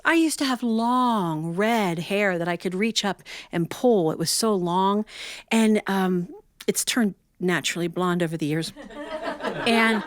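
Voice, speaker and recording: A middle-aged woman from the United States telling humorous personal stories to a live audience in a folksy, confiding way with dramatic pauses. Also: fairly high pitch, fairly bright tone, no echo, clean studio sound. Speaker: storyteller. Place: performance studio with a live audience.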